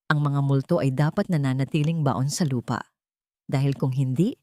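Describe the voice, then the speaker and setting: A woman's voice: low voice, dark tone, dry recording, clean studio sound. A woman voicing a threatening male caller in an audio story, delivering menacing warnings.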